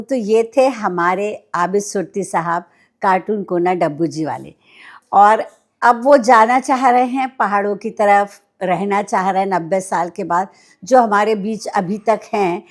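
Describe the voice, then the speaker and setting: A woman's voice: mid-range voice, measured pace. A woman with an Indian accent hosts an interview podcast conversationally, speaking to her guest and to listeners. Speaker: podcast host.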